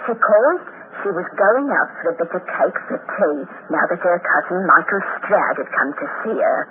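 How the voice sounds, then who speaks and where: A man's voice: sits high, very animated, moderate pace. A performer playing a talkative, fussy, deferential landlady in a 1950s radio drama. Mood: chatty, eager. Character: chatty, deferential landlady, gossipy and sentimental. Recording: noisy, tinny 1950s radio recording.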